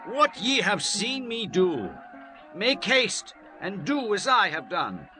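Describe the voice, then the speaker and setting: A woman's voice: medium pitch, very animated, takes it slowly, sharp tone, exaggerated voice. A woman playing a defiant, urgent character in a dramatized scripture reading, with declamatory archaic diction. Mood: commanding. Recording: faint music behind. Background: music.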